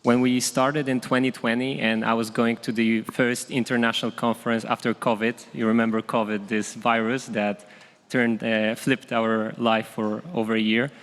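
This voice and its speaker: A man speaking as a panelist, energetic and passionate in his storytelling. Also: medium pitch, normal speed. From Poland. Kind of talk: panel discussion. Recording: hall reverb, event stage.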